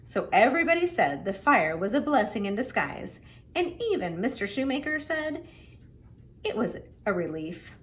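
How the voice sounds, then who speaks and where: Animated woman reading a children's story aloud. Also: medium pitch, moderate pace. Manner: animated, dramatic emphasis on key words. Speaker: children's book reader. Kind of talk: expressive storybook read-aloud.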